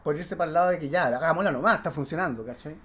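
Chilean man telling anecdotes, high for a man. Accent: Chilean Spanish. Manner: halting, slangy, animated storytelling. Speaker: podcast guest, stage actor. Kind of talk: casual anecdotal chat.

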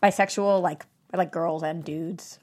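A woman's voice: fairly low pitch, fairly even intonation, a little slow, a little mellow. An actress reading a sassy, wisecracking young girlfriend at a table read, dry and teasing. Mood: sarcastic.

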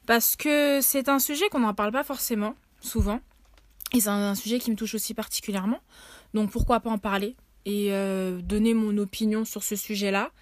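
A young woman from the French Caribbean talks casually as a guest, pausing often with fillers and starting phrases over. Her voice sits at an ordinary pitch and is recorded close up in a dry, dead room. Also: steady tone, dry recording. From Guadeloupe, Martinique and French Guiana. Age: 20s. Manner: hesitant, many fillers and restarts. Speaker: podcast guest. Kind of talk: casual conversation.

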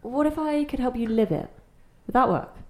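A woman's voice: fairly high pitch, expressive, normal speed. A woman acting a mysterious guide to memories in an audio play, dry, playful and conversational, forever questioning. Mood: musing, playful.

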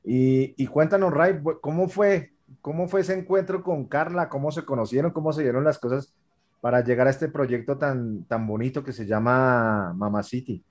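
A man's voice: medium pitch, moderate pace. A man hosting a casual radio interview, friendly and rambling, calling his guest "brother". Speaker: radio host.

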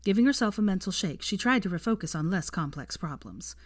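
A woman reading fan fiction aloud in an expressive audiobook style of narration. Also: medium pitch, fairly quick, dry recording, studio quality.

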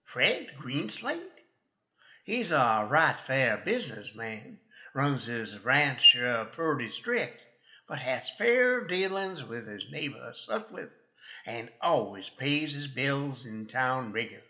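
Man acting a slow-drawling, folksy western sheriff. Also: mid-range voice, big pitch swings, sharp tone, lo-fi sound, exaggerated voice. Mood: helpful, chatty. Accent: American Western drawl. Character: genial, folksy older small-town western sheriff. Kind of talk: old-time radio western acting.

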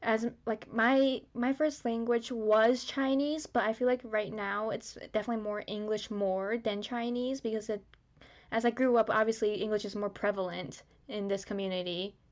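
A woman's voice: a little high, steady tone, moderate pace, lo-fi sound. Young woman on a dullish mic. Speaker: podcast co-host. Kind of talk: casual chat.

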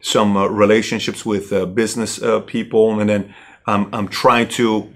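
A middle-aged man from Iran, a company CEO, telling his personal story in a halting way with many fillers and restarts. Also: fairly low pitch, flat delivery, fairly bright tone.

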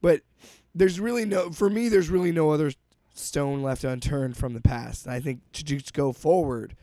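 A man co-hosts a casual podcast, his voice hoarse from a cold and dark in tone. He rambles and restarts his sentences often. The sound is dry and close. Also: a little high, normal speed, no echo. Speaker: podcast co-host. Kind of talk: casual chat.

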